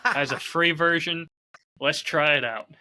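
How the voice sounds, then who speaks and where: A man chatting casually as a podcast co-host in a low-key, measured, slightly hedging way. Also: bright, forceful tone. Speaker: podcast co-host and audio drama creator.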